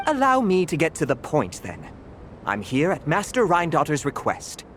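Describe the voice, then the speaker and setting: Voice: mid-range voice, wildly swooping pitch, normal speed, slight background noise. An actor voicing a fussy, proud male cat butler in a video game, speaking in a pompous, formal and theatrical way. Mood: pompous, businesslike.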